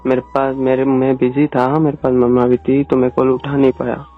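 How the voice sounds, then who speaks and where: A young man playing a jealous, sulking boyfriend in a casual, halting phone-call argument. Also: medium pitch, monotone, close to an everyday voice. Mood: defensive, sullen. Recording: tinny phone-call sound under music.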